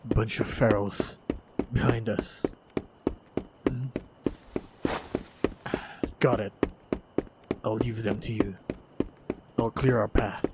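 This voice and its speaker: A man playing a stoic, wry and protective monster hunter in a fantasy action audio roleplay, speaking in terse, measured, understated lines. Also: on the low side, fairly even intonation, very noisy. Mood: urgent, firm.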